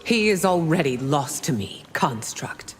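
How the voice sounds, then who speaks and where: Widowed game empress: low, swooping, scornful voice, long reverb over music. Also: female voice, big pitch swings, a little slow. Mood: bitter, imperious. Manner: regal, scornful. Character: bitter, imperious widowed empress, a mother. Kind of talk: video game character dialogue.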